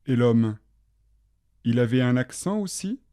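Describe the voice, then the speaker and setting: A man reading the questioning part of a scripted dialogue for language learners, measured and clear, each line said twice. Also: medium pitch, lively intonation, dark tone, dry recording, studio quality. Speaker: language-lesson dialogue reader.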